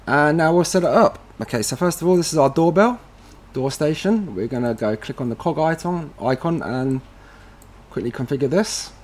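Husky, higher-voiced man giving a tutorial. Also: expressive, normal speed, a little mellow. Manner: informal, talking through hands-on steps as he does them. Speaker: tutorial presenter. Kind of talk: casual step-by-step product tutorial.

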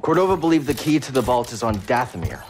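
A man's voice: medium pitch, expressive, fast, a little hiss or hum, natural voice. A young man playing an earnest, determined hero in a video game. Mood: sober. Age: young adult. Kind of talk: naturalistic game acting.

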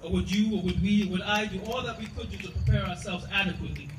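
A man's voice: high voice, fairly even intonation, quick pace. A man giving a spoken word poetry reading with a rhythmic, rhyming delivery. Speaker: poet. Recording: echoey room from far off, loud noise.

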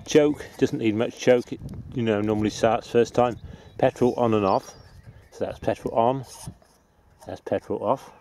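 A middle-aged man talks casually to the camera in a soft-edged, mellow voice. His delivery is rambling and matter-of-fact, like someone showing you around his plot. He is recorded in the open air, with light outdoor noise and birds behind him. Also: mid-range voice, measured pace. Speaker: allotment gardener presenting own video. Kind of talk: casual vlog demonstration.

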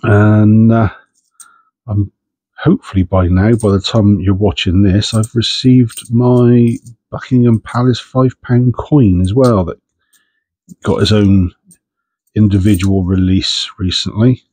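Congested man, husky low voice. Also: fairly even intonation, slow, dark tone, no echo. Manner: chatty, understated, self-deprecating. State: congested with a cold, sniffing. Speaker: coin-hunting channel host. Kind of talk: casual vlog commentary while sorting coins.

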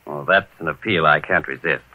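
An actor playing a courteous, self-assured master detective in a 1940s radio mystery. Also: male voice, extremely low pitch, fairly quick. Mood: businesslike. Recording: dull old radio recording.

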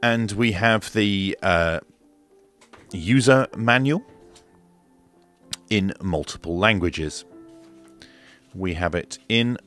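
A man's voice: lowish voice, animated, slow, pauses often, a bit bright, faint music behind. A middle-aged man presenting an equipment review in a small home studio, speaking into a desk microphone. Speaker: product reviewer and host. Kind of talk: radio equipment review narration. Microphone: close desk microphone.

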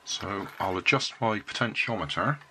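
An older man with a cold, calmly explaining a homemade circuit step by step at his hobby workbench. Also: fairly low pitch, moderate pace, slight background noise. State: has a cold. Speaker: electronics hobbyist. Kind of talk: casual workbench demonstration.

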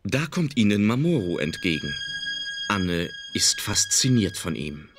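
A man's voice: lowish voice, sing-song, a little slow, loud music behind the voice. A man narrating an audio drama from a script.

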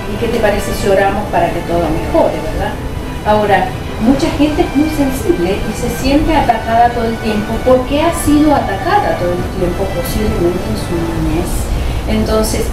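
A woman's voice: a little high, animated, moderate pace, roomy sound, far from the mic, over loud music, low-quality recording. A middle-aged woman from Argentina with an Argentine Spanish accent, giving an earnest, teacherly devotional talk as host, reading scripture aloud. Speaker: host of a faith talk show. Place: small home studio. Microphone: desk microphone in front.

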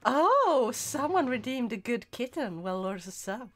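A woman's voice: on the high side, big pitch swings, slow, a bit bright. Giggly Swedish woman streaming a game. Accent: Swedish with British, Scottish and Irish touches. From Sweden. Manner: giggly, often breaks into laughter. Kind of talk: casual live-stream chat while gaming, reading chat aloud.

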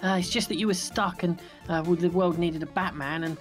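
A man's voice: sits high, fairly even intonation, fairly quick, natural voice. A man playing a whiny, defensive young superhero sidekick, hesitant, in an improvised video game roleplay. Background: music.

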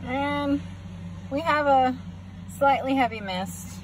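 A woman's voice: sits high, slow pace. A woman in her forties vlogging casually about the farm, chatty and often laughing, filmed on a phone held at arm's length in a truck and out in the fields. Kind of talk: casual vlog narration. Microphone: phone camera held at arm's length. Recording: noisy outdoor phone recording. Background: truck and outdoor ambience.

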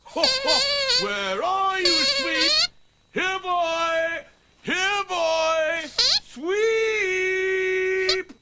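A man playing a jolly old Father Christmas for a children's show, with hearty, drawn-out theatrical calls. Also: very high-pitched, lively intonation, heavy background noise, pitched above the performer's own voice, exaggerated voice. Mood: jolly, calling out. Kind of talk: children's television pantomime-style acting.

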